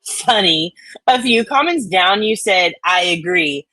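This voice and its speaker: A woman bantering casually and reading online comments out loud in a blunt, sweary, folksy way. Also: fairly low pitch, expressive, unhurried, no room sound, rough recording. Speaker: co-host reading comments aloud.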